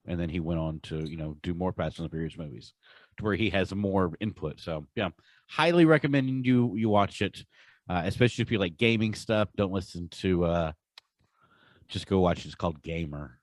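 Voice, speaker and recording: A man with a fairly low voice talks casually as a podcast co-host. He is enthusiastic and leans hard on his favorite words. The sound is very dry, with no room around it. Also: normal speed, no echo. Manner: enthusiastic, emphatic.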